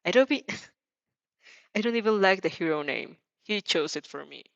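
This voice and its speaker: A woman voicing a grumpy, reluctant, tired male hero in a fan fiction story, deadpan and curt. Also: mid-range voice, unhurried, gravelly, no room sound, lo-fi sound. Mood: grumbling.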